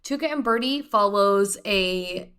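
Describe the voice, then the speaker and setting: Bright, chatty young woman. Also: medium pitch, unhurried, clean tone. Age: 20s. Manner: enthusiastic, chatty, rambling. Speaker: podcast co-host. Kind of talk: casual chat.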